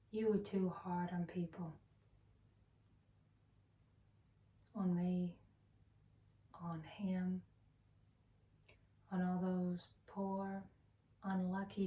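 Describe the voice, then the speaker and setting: Woman acting a slow, wounded, bitter wife. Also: on the low side, dark tone. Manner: halting, broken phrases with heavy pauses, at times whispered. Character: wounded, bitter Southern wife confessing to her husband. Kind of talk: dramatic stage monologue. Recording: roomy echo, distant microphone.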